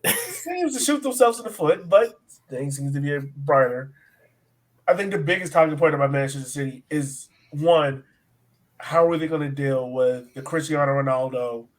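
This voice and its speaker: Higher-voiced man hosting a soccer podcast. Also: animated, normal speed. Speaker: sports podcast host. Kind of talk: conversational soccer podcast interviewing.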